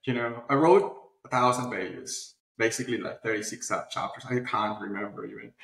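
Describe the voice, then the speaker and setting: A man speaking casually as an interview guest, telling stories in an animated way with quoted asides. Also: fairly low pitch, moderate pace, creaky. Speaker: interview guest, publishing platform founder. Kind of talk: casual conversational interview answers. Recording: roomy, echoey sound.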